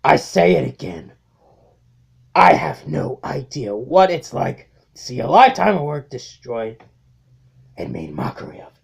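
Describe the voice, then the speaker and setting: A woman playing a cold, contemptuous robot warlord in a comic dub, speaking with slow, grandiose menace. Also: low voice, very animated, gravelly, slightly rough, pitched below the performer's own voice, full character voice. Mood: bitter. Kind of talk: comic dub dramatic acting.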